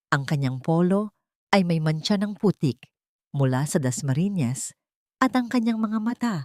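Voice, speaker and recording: Woman reading a dramatic story as narrator, bit low pitched, really dry sound. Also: dark tone, no echo.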